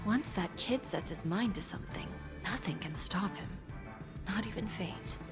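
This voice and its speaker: A woman's voice: medium pitch, moderate pace, loud music behind the voice, lightly acted. An actress playing a poised, calculating senior businesswoman in a video game, composed and coolly assured. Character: poised, calculating senior female corporate negotiator.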